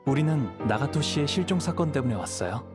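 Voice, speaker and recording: Casting: man for composed young swordsman-investigator in a game, mid-pitched, polite and measured, over clear music. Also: lightly acted. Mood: gentle, reassuring. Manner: calm, courteous, measured. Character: calm, courteous young swordsman investigating a mystery. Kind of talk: video game voice acting.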